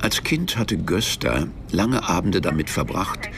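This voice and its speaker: A man narrating a literary radio crime drama in a measured, deadpan manner, as a cool, sardonic storyteller. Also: low voice, noisy background. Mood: reflective, measured. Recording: music under the voice.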